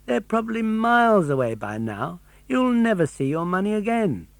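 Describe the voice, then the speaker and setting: Man voicing a mocking storybook pigeon, pitched high. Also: sing-song, moderate pace, gravelly, dry recording, heavily acted. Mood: pitying scorn. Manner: scornful, emphatic, lecturing. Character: mocking, chuckling know-all male pigeon in a children's storybook.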